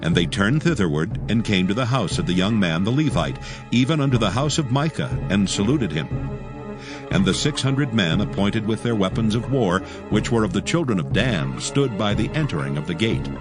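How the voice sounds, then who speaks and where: A man narrating scripture from a script as a solemn, measured storyteller. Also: on the low side, lively intonation, music in the background.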